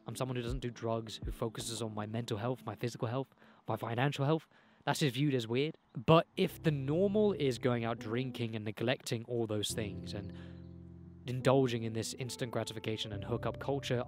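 A young man talks to the camera he holds, out in an open field. He is loose and candid, rambling, swearing and laughing as he goes. His pitch sits mid-range for a man, with faint music under the voice. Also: fairly quick. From England. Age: young adult. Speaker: self-improvement vlogger. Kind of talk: casual talk to camera. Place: outdoors in a green field by a hedge. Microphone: handheld camera mic, close.

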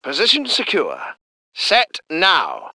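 A formal, clipped British tank gunner. His voice is high and very bright and swings widely, and he takes his time over each line. It is a dry, close studio take. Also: wildly swooping pitch, takes it slowly, husky, no room sound, exaggerated voice. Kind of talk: video game unit voice lines.

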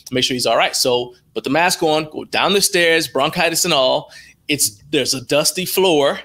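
A man's voice: fairly high pitch, normal speed, pushed and bright. A middle-aged American man telling stories casually and colloquially as an interview guest on a video call headset. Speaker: interview guest, live stream producer. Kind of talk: casual conversational storytelling. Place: indoor room at home on a video call.

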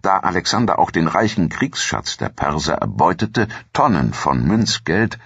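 A man reading scripted history narration as a documentary narrator in a measured, formal, authoritative manner. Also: rumbling low, fairly bright tone, breathy edge, dry recording, muffled recording.

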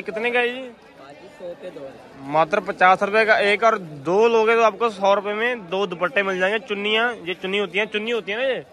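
A man's voice: high-pitched, bright, forceful tone. A man giving repetitive, sales-like running commentary to viewers as a street market vlogger, asking vendors prices. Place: busy outdoor street market.

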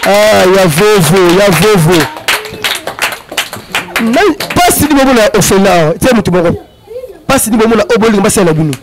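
A man's voice: high-pitched, animated, normal speed, long pauses, background noise. A man emceeing a gathering outdoors, urging the crowd to applaud. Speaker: event host with a microphone. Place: outdoor courtyard. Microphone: handheld microphone.

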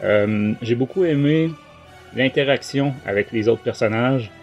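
A man's voice: mid-range voice, unhurried, dull audio, soft background music. A man with a Quebec French accent, a podcast host giving a casual review in a hesitant, rambling way. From Quebec, Canada.

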